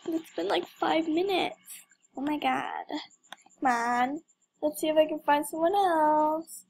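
A woman's voice: sits high, unhurried, creaky, darkish tone. A young woman giving casual, playful game commentary with mock drama and exclamations. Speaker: gamer recording gameplay.

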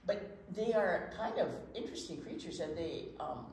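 An older woman with a slightly low, very animated voice chats casually as a storytime teacher. She sounds distant, in a strongly echoing room. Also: normal speed. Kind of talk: casual chat for children. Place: indoor storytime set at a library. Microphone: distant camera microphone. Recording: very echoey, distant mic, background noise.

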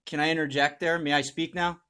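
A man's voice: fairly high pitch, flat delivery, brisk, pushed and bright, clear, resonant tone. A man chatting casually on a prank phone call, stalling and argumentative, repeating himself. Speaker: prank caller.

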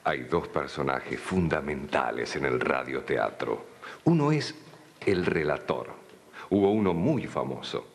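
Male Argentine narrator, extremely low and animated, dramatic scripted read, faint noise. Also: unhurried. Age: middle-aged.

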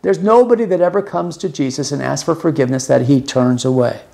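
An older man in his sixties, teaching scripture with earnest, plain talk. His voice sits a bit higher than most men's, and he often restarts words mid-thought. He's recorded on a desk camera in a small room. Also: brisk, creaky, dark tone. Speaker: podcast host teaching the Bible. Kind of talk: Bible teaching monologue. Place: small room with music gear behind.

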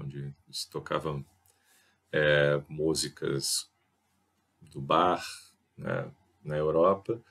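A man in his forties with a Brazilian Portuguese accent, lecturing to a webcam from a small room through a headset mic in a thoughtful, professorial way, with frequent filler pauses. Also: rumbling low. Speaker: host. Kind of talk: informal lecture to camera. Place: small indoor room with a chalkboard. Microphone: headset mic on a webcam video.

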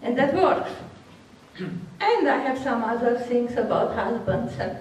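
A woman's voice: on the high side, very animated, unhurried, a little mellow, a little hiss or hum. An older woman with a Hungarian accent, speaking frankly and laughing often as she answers workshop questions. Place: large hall with seated audience. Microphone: handheld microphone, recorded from far off. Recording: echoey hall from far off.

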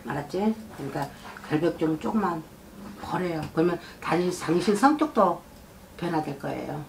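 A woman's voice: fairly low pitch, expressive, moderate pace, vocal fry, a little hiss or hum. Blunt middle-aged woman, a scolding fortune teller. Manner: blunt, scolding, keeps checking she is understood. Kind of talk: fortune-telling consultation. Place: shrine office indoors.

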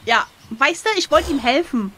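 A woman chatting casually and expressively as she plays through an adventure gamebook, quick to exclaim. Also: sits high, expressive, a bit bright. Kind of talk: casual chat while playing.

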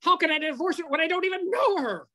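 A man's voice: extremely high pitch, lively intonation, quick pace, sharp tone, exaggerated voice. An older man playing a slangy, exclamatory wisecracking fellow in an amateur old-time radio play. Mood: exasperated. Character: exasperated wisecracking working man caught in hoax. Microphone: computer on video call.

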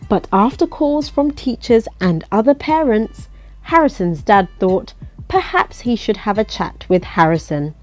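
A woman reads a children's picture book aloud at an unhurried pace. Her pitch moves up and down in a lively, expressive way as she coaxes the listener along, with music playing behind her. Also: low-quality recording. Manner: expressive, coaxing, asks the listener questions. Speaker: storybook reader.

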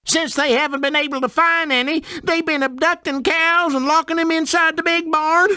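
A man's voice: extremely high pitch, steady tone, normal speed, sharp tone, no room sound, clean studio sound, full character voice. A man voicing a simple, good-natured video game farmer with a folksy rural American accent. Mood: worried, pleading. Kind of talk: video game character dialogue.

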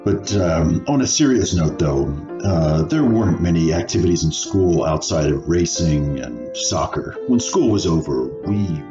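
An actor playing a wry elderly man haltingly recalling his schooldays in a radio play. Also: low voice, animated. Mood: reminiscing. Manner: halting, reminiscing. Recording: muffled, roomy, music underneath.

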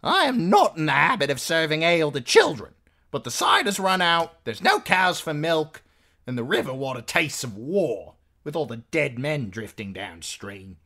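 A man voicing a bossy, gruff middle-aged innkeeper woman in a storybook, scolding in rustic, folksy speech. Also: fairly high pitch, sing-song, normal speed, bright, forceful tone, pitched above the performer's own voice, exaggerated voice. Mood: commanding, blunt.

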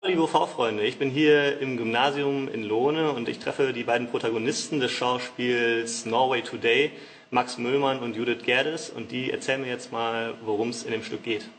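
A man's voice: medium pitch, fairly even intonation, normal speed, a bit bright. A young man presenting event tips to camera. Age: young adult. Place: school theatre stage, indoors. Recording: faint background noise, stage ambience.

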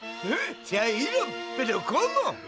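A dim-witted, whiny troll from a cartoon. The voice is very high and swoops about in slow, exclaimed complaints over music. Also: male voice, wildly swooping pitch, exaggerated voice. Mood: baffled. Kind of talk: animated cartoon dub.

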